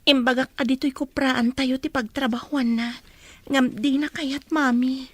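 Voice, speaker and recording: A woman's voice: high voice, fairly even intonation, measured pace, no echo. A woman playing a lovesick, pleading young student in an audio drama. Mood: hurt, doubting.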